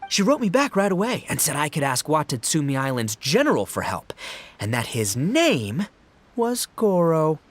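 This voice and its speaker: Boisterous, animated young gang boss. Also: male voice, on the high side, moderate pace. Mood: explaining, dramatic. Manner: boisterous, slangy, dramatic. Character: brash, jovial, dim-witted young male gang boss. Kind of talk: video game cutscene acting.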